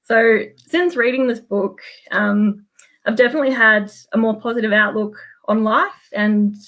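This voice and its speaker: A woman's voice: on the high side, steady tone, unhurried, fairly bright tone, poor audio. An Australian-accented woman speaking in an earnest, chatty way as she casually shares a book with a group. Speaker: meetup guest speaker, small business owner. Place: home office, on a video call.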